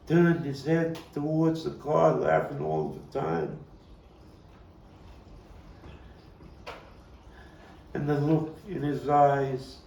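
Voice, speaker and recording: A man performing a theatrical monologue as a haunted Brooklyn man looking back on his boyhood, halting and dramatic with long pauses. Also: mid-range voice, gravelly, dark tone. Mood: anguished. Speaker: playwright and actor. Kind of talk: theatrical monologue at a table read. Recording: roomy, distant, noisy live capture.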